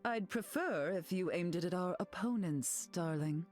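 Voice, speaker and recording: A haughty, condescending queen of a video game , played by a woman. Her lines swoop widely with poised, theatrical sarcasm. Music plays clearly behind her. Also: fairly low pitch, expressive, normal speed, lightly acted. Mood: disdainful. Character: haughty, sardonic, glamorous telepathic queen. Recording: game dialogue over loud music.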